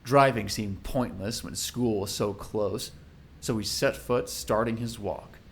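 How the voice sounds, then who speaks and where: A man with a fairly low voice narrates a dramatic audio story. He reads scripted prose as the storyteller, with faint ambient noise behind him. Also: unhurried.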